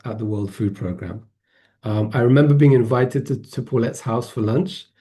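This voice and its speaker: A man gives an online presentation over a video call. His voice is mellow and dark. He hesitates a lot and restarts his sentences as he goes. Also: mid-range voice. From Poland, grew up in England. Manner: hesitant, many fillers and restarts. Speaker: webinar presenter.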